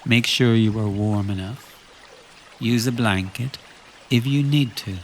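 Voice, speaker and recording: A man guides a relaxation meditation at a very slow pace, with a mid-pitched voice. He gives calm instructions in short phrases, leaving long pauses. A faint trickle of stream water runs behind him. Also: slight background noise.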